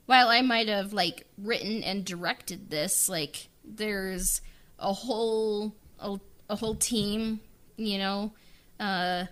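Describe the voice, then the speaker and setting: A woman speaks slowly in a mid-range voice, chatting casually as the writer and director of an audio drama. She is soft-spoken and a little halting, pausing between thoughts. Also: medium pitch, clear, resonant tone. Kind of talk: casual behind-the-scenes chat.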